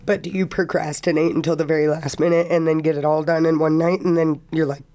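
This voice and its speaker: A hoarse young woman, a student guest on a college radio interview, speaking earnestly and reflectively while sick. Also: low-pitched, flat delivery, fast, mellow. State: hoarse from illness. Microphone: studio microphone.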